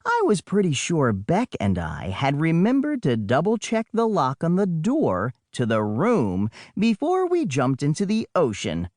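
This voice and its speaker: A man's voice: fairly high pitch, huge pitch swings, measured pace, hardly any pauses, a little mellow, no room sound, clean studio sound. A man reading a children's adventure audiobook in the first person as a boy storyteller, with dramatic delivery and wry conversational asides. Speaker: audiobook narrator.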